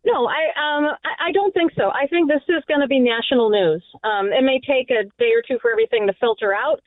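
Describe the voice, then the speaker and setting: A woman's voice: high voice, brisk, dry recording. Woman commentator on a phone line. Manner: hesitant, many fillers. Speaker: political commentator. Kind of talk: radio panel discussion. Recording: phone-line guest, dry.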